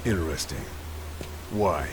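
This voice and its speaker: An actor playing a wary Allied commando lieutenant in a game cutscene, terse, deadpan and gravelly. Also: male voice, rumbling low, measured pace, full character voice. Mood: guarded. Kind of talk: video game cutscene acting. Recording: noisy ambience behind the voice.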